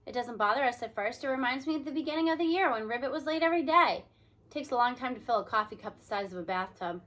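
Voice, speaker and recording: Female story reader, higher-pitched and bright, expressive read-aloud delivery. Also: fast. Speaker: audiobook reader.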